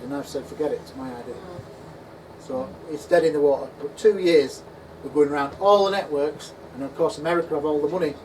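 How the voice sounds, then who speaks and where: A man giving an animated conference talk in a pub function room, acting out imagined voices as he goes. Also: on the high side. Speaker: conference speaker. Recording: distant voice with faint room noise.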